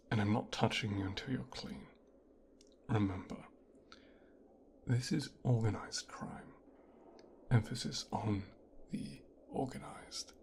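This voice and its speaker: A man performing an ASMR roleplay as a teasing, commanding mafia boss's son, speaking softly and often whispering close to the microphone. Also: fairly low pitch, a little hiss or hum. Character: mafia boss's son giving a teasing, domineering medical exam.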